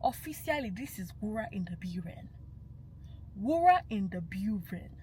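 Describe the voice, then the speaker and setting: A woman's voice: mid-range voice, big pitch swings, moderate pace, a little mellow, a little hiss or hum. Passionate young woman. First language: Yoruba. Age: young adult. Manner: passionate and emphatic, slipping into Yoruba phrases. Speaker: vlogger. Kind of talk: casual vlog monologue.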